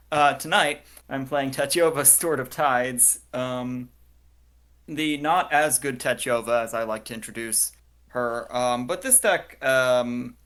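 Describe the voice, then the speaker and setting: A woman's voice: a bass voice, fairly bright tone. A woman photographer on a video call, talking in a dry, self-deprecating way with many hesitations. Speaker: photographer guest. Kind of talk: casual chat on a video call.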